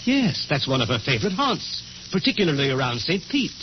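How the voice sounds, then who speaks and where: An actor playing a menacing, obsessed middle-aged husband in a slow, deliberate, ominous way, in old-time radio suspense style. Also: male voice, on the high side, big pitch swings. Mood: brooding, ominous. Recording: noisy, hissy, muffled old radio recording.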